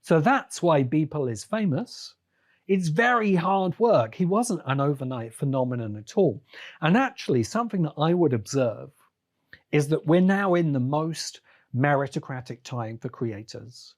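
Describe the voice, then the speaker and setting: Male podcast host, slightly high voice, emphatic and deliberate, conversational solo commentary. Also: sing-song.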